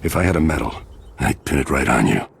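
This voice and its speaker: An actor playing a formal, measured officer with a gravelly voice in a video game. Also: male voice, rumbling low, expressive, exaggerated voice. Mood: grateful, measured. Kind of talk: video game cutscene acting.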